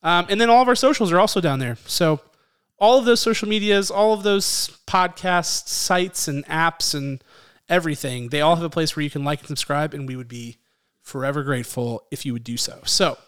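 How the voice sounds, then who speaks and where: A man's voice: a little high, animated, normal speed, fairly bright tone, clear, resonant tone. A man co-hosting a movie podcast, chatting casually and teasing his co-host. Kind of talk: casual chat.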